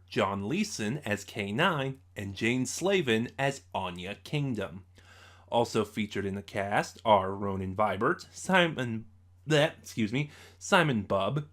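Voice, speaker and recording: Man reviewing in a halting, informal way. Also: medium pitch, fairly bright tone. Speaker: host of a review show. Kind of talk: informal audio drama review to camera.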